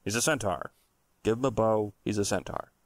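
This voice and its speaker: A man's voice: fairly low pitch, moderate pace, no echo, studio quality. A man co-hosting a podcast, chatting and telling stories casually in a blunt, sweary, emphatic way. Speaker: podcast co-host. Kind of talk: casual banter and storytelling.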